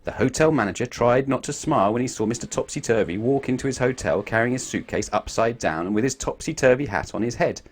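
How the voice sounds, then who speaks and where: A man reading a children's storybook aloud in an expressive way, putting questions to his young listeners. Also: medium pitch, fast, few pauses. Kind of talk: animated children's storybook narration.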